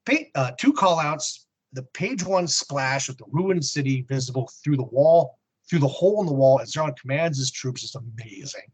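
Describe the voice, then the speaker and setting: A man's voice: mid-range voice, lively intonation, normal speed, breathy edge. A man hosting a podcast, speaking in a dramatic scripted style as he narrates and reviews. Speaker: podcast host.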